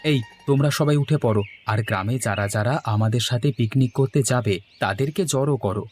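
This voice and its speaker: A man's voice: mid-range voice, brisk, a little mellow, slight background noise, lightly acted. An actor playing an eager, persuasive village man in a cartoon folk tale. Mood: eager, rallying. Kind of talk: animated cartoon dialogue in Bengali.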